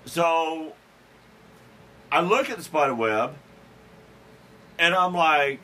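A man's voice: a little high, lively intonation, slow pace, pushed and bright. A man hosting a podcast, telling a rambling, self-deprecating story in a casual chat, chuckling and restarting his sentences. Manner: frequent restarts and fillers. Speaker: podcast host.

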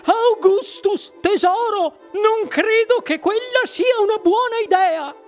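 A very high, shrill storybook mother, frantic and scolding. She stretches her cries out in piercing, drawn-out shrieks over faint music. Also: a little mellow. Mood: alarmed.

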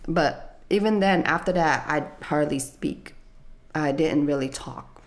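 Woman, young adult, low voice, soft-spoken, hesitant, interview. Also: unhurried. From United States. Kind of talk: personal life story interview.

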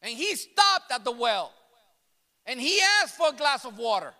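A man whose first language is Spanish, preaching with emphasis, circling back over his phrases as he builds. His voice sits very high and cuts through sharply. It rings out with a long tail of reverb, as in a big church hall. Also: big pitch swings, moderate pace. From grew up in New York City. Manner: emphatic, repeats and restarts phrases, builds to loud passionate peaks. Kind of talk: sermon.

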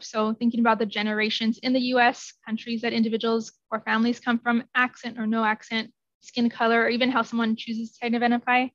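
Flat-toned woman guest on a dull line. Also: on the high side, no echo. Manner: earnest, hedges with "I think". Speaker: interview guest, genetic counselor. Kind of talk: casual interview answers.

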